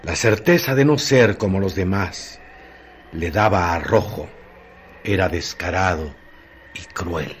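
Male radio drama narrator: lowish voice, very slow grave phrasing, faint noise behind.

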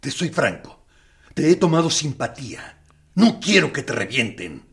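An actor playing a harsh male military captain interrogating a prisoner in a radio drama, with a gruff manner. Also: on the high side, very animated, a little slow, fairly bright tone, exaggerated voice. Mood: coaxing. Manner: gruff, swinging between coaxing and angry outbursts.

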